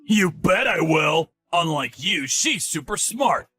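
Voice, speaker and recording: A man voicing a spiteful, sneering middle-aged ex-husband who shouts and taunts in a dramatized story. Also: high voice, very animated, moderate pace, breathy edge, soft background music, full character voice. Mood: gloating.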